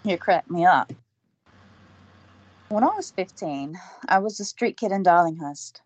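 A woman's voice: lowish voice, expressive, a little slow, a little mellow. A woman playing a flustered, earnest young woman in an audio drama.

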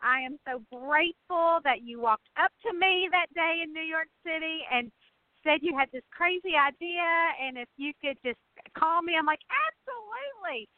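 A woman's voice: very high voice, expressive, normal speed, no room sound. A woman chatting casually as an interview guest, effusive and folksy in the way she talks. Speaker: guest, mystery novelist. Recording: narrow phone-line sound.

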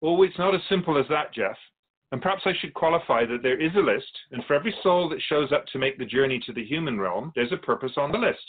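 A thin, phone-like radio drama recording carries an otherworldly male keeper of souls, acted by a man. His voice sits a little high and moves in lively swoops. He is courteous and patient, explaining in measured, formal phrases. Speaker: guest on a radio show. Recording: thin phone-like band-limited audio.

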